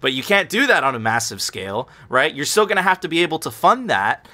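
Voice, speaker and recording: A man from Venezuela hosting a podcast, talking in an animated, emphatic way, repeating himself and swearing now and then. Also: on the high side, quick pace. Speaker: podcast host. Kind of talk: casual podcast chat.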